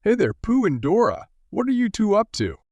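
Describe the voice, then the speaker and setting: Woman as bouncy storybook tiger: friendly, wildly swooping pitch. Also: big pitch swings, moderate pace, warm tone, no echo, muffled recording, lightly acted.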